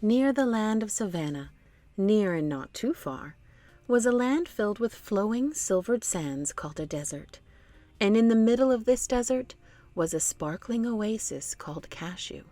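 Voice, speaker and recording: A woman's voice: mid-range voice, lively intonation, measured pace, dark tone, no echo, soft background music. A woman hosting a children's read-along, narrating expressively and speaking directly to young listeners. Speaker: storytime host and narrator.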